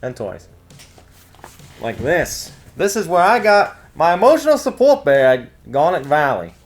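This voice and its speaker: A man's voice: on the high side, very animated, measured pace. A man co-hosting with jokey, casual banter in a playful, exclamatory way. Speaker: co-host. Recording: music bed under the voice. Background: music.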